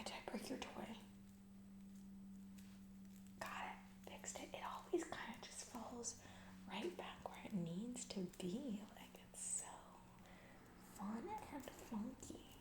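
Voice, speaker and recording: A woman's voice: medium pitch, creaky, darkish tone, echoey room. A woman playing a distracted, callous corporate CEO in a comedy ASMR roleplay, rambling breezily in corporate-speak and trailing off.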